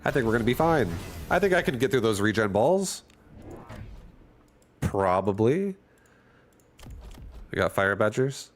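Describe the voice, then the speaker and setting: A man's voice: mid-range voice, very animated, unhurried, pauses often. A man casually thinking aloud and commenting on his own strategy game as he streams it. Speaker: gaming streamer.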